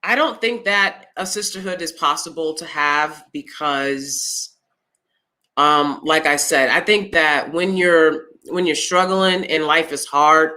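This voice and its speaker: A woman with a loud, clear voice giving her opinions in a casual vlog, blunt and emphatic as she speaks straight to viewers. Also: on the low side. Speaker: YouTube commentator.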